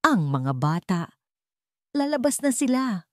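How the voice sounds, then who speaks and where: Frightened, emotional young woman, higher pitch acted out. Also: big pitch swings, a little slow, darkish tone, no echo, studio quality. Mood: pained panic. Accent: Filipino. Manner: emotional, breathless.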